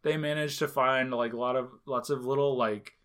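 A relaxed podcast co-host talks through a horror film review in a loose, rambling way. The voice sits in a middle pitch, and the thoughts come out in halting bits joined by fillers. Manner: rambling, heavy on filler words. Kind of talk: casual movie review chat.

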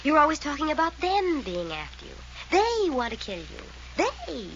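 An actress playing a sassy, flippant eldest sister with brash, mocking, slangy delivery in 1940s radio drama style. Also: female voice, sits high, wildly swooping pitch, moderate pace, full character voice. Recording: scratchy old-time radio broadcast, hissy, muffled.

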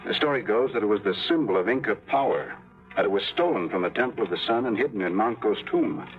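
An actor playing a polite, courtly archaeologist who leads an expedition, in a vintage radio drama. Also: male voice, fairly low pitch, natural voice. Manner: polite, genteel. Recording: dull vintage radio broadcast with music.

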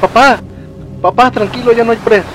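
Un hombre joven que interpreta a un hijo angustiado que intenta calmar a su padre en un drama de terror. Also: male voice, sits high, fairly quick, low-quality recording. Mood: worried, soothing. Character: anxious grown son pleading to calm his panicking father. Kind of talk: horror story dramatization. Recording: music behind the voice.

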